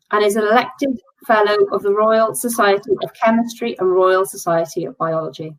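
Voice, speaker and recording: A woman with a slightly high voice hosts an online conference, giving a measured, formal introduction. The sound is close and dry, with no room around it. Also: no room sound, rough recording. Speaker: conference host.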